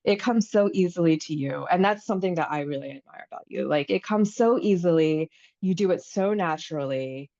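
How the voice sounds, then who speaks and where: A woman's voice: lowish voice, brisk, warm tone, no echo, dull audio. Chatty American woman in her thirties. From United States. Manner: chatty, with many fillers and restarts. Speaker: podcast host. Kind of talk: casual conversation.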